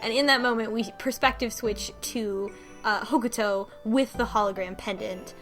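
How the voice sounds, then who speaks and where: A woman co-hosting a podcast, casually retelling a story in halting bursts with false starts and some swearing. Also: on the high side, fairly even intonation, fairly bright tone, faint music behind. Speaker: podcast co-host recapping a story. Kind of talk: casual conversational plot recap.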